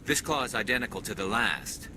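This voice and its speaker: An actor playing a serious, composed squadmate in a science fiction video game. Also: male voice, fairly low pitch, lively intonation, brisk, heavy background noise, close to an everyday voice. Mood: alert, composed. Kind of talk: dramatic video game acting.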